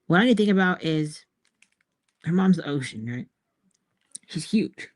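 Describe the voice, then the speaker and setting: A woman's voice: sits low, lively intonation, normal speed. A woman casually thinking aloud as she works on artwork, musing and hesitant, often trailing off between phrases. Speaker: artist and author, host.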